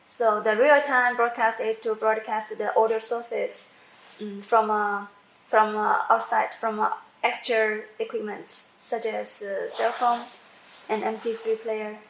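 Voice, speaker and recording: Woman, software tutorial presenter, even unhurried delivery, halting phrasing, roomy sound, faint noise. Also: on the high side, creaky. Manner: halting, searching for words, repeating phrases. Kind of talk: unscripted step-by-step software demonstration. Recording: echoey room with faint background noise.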